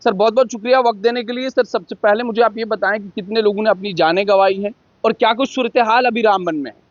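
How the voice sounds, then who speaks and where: A woman's voice: medium pitch, quick pace, no room sound. A woman reporter presenting news and putting questions to an official in an interview. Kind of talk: news introduction and phone interview. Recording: slightly dull, dry audio.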